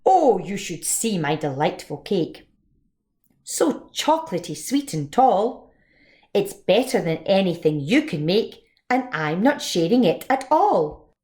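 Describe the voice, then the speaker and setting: A proud little girl from a storybook, acted out by a woman reader. She boasts and exclaims dramatically. Her pitch swoops about in a very lively way. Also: takes it slowly. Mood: boastful. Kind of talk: dramatised children's storybook reading.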